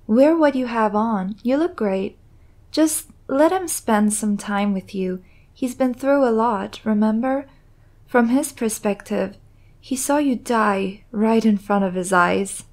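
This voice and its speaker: A woman's voice: on the high side, takes it slowly, darkish tone. A woman playing a caring, frank young woman, a supportive friend, in a comic dub. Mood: reassuring.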